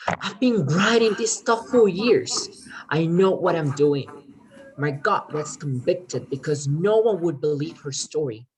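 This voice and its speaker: A woman performing in a readers theater as a crime writer, earnest and persuasive, speaking haltingly while pitching a scheme to save a friend. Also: breathy edge, rough recording.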